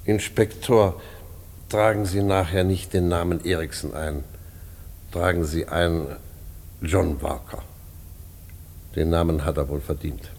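Prison director: weary authority, very low voice, slow deliberate delivery, long reverb, radio drama. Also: male voice, steady tone, a little mellow. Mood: grave, commanding. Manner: measured, deliberate, pensive. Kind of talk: German radio play acting. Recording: long hall reverb, faint hiss.

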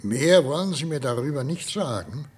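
An actor playing an older, formal and skeptical professor in a science fiction radio play. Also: male voice, a little high, expressive, moderate pace, husky. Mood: incredulous.

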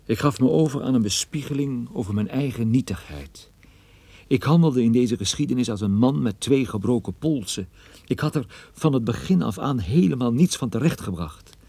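An actor playing a wry, gloomy male private detective, narrating a radio play in a dry, ironic, measured way. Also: mid-range voice, expressive, creaky, warm tone, husky, no room sound. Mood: gloomy, reflective.

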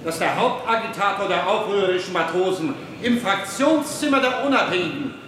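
An actor playing an accusing naval state secretary in a radio drama, declaiming in an official manner. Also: male voice, high voice, expressive, a little fast. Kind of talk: radio drama parliamentary speech. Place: large echoing chamber. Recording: very echoey hall, noisy ambience.

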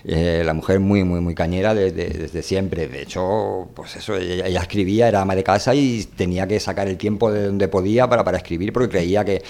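Deep-voiced Spanish man chatting casually. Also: steady tone, fairly quick, creaky, few pauses, a little mellow. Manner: hesitant, repeating words as he searches for phrasing. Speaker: radio show host. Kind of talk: casual, rambling literary discussion.

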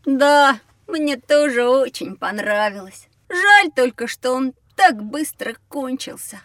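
A woman voicing a curious, excitable young boy in a children's educational drama, eager and emotive. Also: high voice, takes it slowly, no echo, studio quality, recorded from a distance, full character voice. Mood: surprised, wistful.

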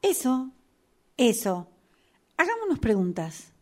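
Lady playing resigned tramp, highish animated voice, takes it slow, amateur radio play. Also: full character voice. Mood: resigned, wondering. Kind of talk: amateur radio theatre workshop reading of an absurdist play.

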